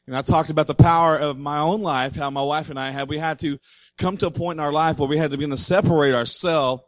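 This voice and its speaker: A male pastor preaching loudly and emphatically, his voice a little hoarse. He sits slightly high for a man and keeps calling out questions to the congregation. The sound is close and dry, with little room reflection. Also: fairly even intonation, quick pace, no room sound, low-quality recording. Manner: loud, emphatic preaching with audience call-outs. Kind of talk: sermon. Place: church.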